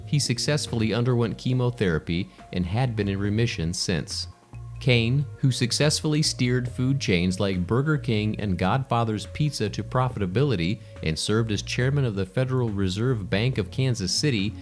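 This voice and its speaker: A man's voice: on the low side, moderate pace. A man reading scripted news narration as a newsreader. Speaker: news reader. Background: background music.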